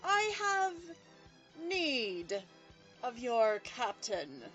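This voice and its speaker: A woman playing a haughty, commanding cyborg clown queen in a comic sci-fi radio play, with theatrical, drawn-out delivery. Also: a little high, wildly swooping pitch, fairly bright tone, faint music behind, full character voice. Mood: imperious.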